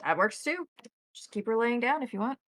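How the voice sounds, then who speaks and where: A woman's voice: fairly high pitch, expressive, fairly quick, a bit bright, no room sound. A woman narrating a tabletop roleplaying game as game master, checking back with her players as she goes.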